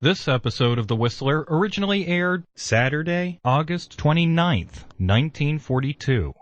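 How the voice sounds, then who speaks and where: Animated male radio announcer. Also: a little high, moderate pace, no room sound, highs cut off. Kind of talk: scripted broadcast announcement.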